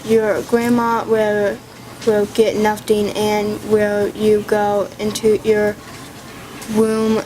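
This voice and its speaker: A woman's voice: mid-range voice, monotone, extremely slow, background noise. A woman reading the part of a caring mother giving her daughter instructions, hurrying and stumbling over words. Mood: instructing.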